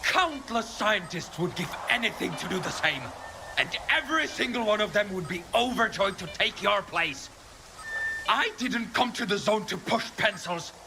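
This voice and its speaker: An actor playing an unhinged, bitter and grandiose scientist in a video game, ranting theatrically over a noisy background with music. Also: male voice, sits high, expressive, moderate pace. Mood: indignant, fervent. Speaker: scientist character. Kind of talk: video game cutscene acting.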